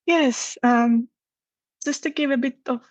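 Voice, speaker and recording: A woman's voice: high-pitched, slow pace, dry recording. A woman from Greece with a Greek accent, telling her own story conversationally as an interview guest. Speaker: podcast guest, academic researcher.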